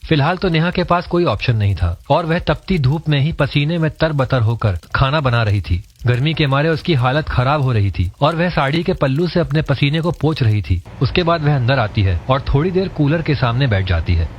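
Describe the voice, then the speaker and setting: A man's voice: medium pitch, animated, moderate pace, few pauses, slightly rough. A man narrating a scripted story. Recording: dull, lo-fi voice track.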